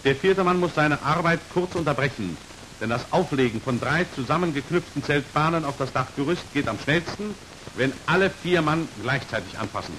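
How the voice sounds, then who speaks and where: Guy doing old wartime training film narration, slightly high voice, formal and exact, lots of hiss. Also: normal speed. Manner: formal, precise, matter-of-fact. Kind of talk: 1940s instructional film narration, scripted. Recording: old 1940s film soundtrack, hissy, crackly noise. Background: steady hiss.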